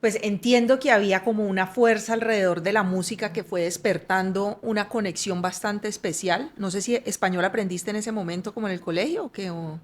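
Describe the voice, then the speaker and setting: A woman podcast host interviewing a guest, asking calm, gentle follow-up questions. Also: mid-range voice, fairly quick, hardly any pauses.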